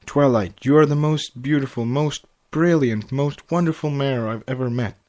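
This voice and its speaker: A young stallion groom read by a man in a middle-pitched voice. He is loving and earnest, a storybook sweetheart. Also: moderate pace, darkish tone, lightly acted. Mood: adoring.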